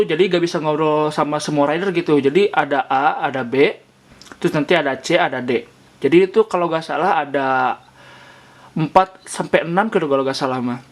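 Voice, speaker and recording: A man giving a vlog product review, explaining points and often rephrasing them. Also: fairly high pitch. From Indonesia. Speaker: motovlogger reviewing gear.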